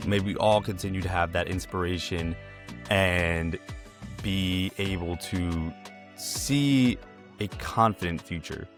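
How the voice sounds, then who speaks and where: A man's voice: deep voice, slow, over music. A man hosting a podcast, asking questions in a conversational interview style.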